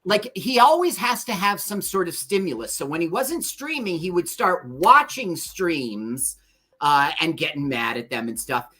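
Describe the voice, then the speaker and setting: A man with a high voice that gets him called ma'am, chatting as a guest on a livestream. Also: sing-song, unhurried, bright, forceful tone. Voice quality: high voice often taken for a woman's on the phone. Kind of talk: casual chat on a livestream.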